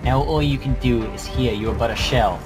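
A man's voice: mid-range voice, fairly quick, close to an everyday voice. A man playing a stern peacemaker in a Minecraft fantasy roleplay, speaking in a declamatory, pleading way. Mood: stern, commanding. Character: stern, preachy peacemaker wielding a magic artifact. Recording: loud music behind the voice.

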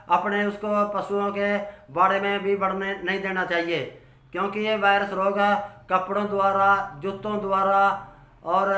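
A man, a dairy farmer giving informal advice from notes in halting, explanatory phrases. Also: high voice, bright, forceful tone. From Haryana, India. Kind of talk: informal instructional talk from notes. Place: small curtained room at home. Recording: roomy indoor echo.

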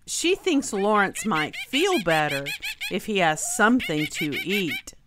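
A woman reading a rhyming children's picture book aloud in an expressive, deliberate storytelling manner. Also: mid-range voice, noisy background. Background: music behind the voice.

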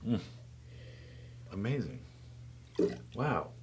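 A man's voice: on the low side, very animated, drawn-out words, slight background noise. A middle-aged man hosting a casual wine tasting in a wine shop, teasing his co-host and rambling enthusiastically about the bottles. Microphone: overhead boom mic.

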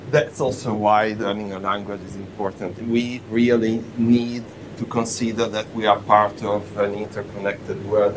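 A man lecturing in Italian gives a welcome talk to new students in a low voice. He puts questions to the audience and pauses often on "uh". The recording carries a steady rumble and noise. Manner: questions the audience, hesitant, lots of "uh". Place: university lecture room. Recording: noisy lecture room with rumble.

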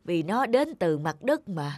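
A woman's voice: fairly low pitch, sing-song, measured pace, darkish tone, no echo. A woman voicing an honest, simple old male farmer in a cartoon folktale, earnest and kindly. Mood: wondering, earnest. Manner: earnest, good-natured. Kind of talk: cartoon folktale character acting.

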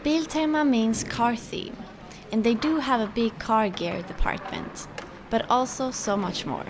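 A woman in her thirties from Sweden with a Swedish accent, chatting casually in a vlog and explaining things slowly with many fillers. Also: a little high, noisy background. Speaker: sailing vlogger. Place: shops, dock and wooden boat cabin.

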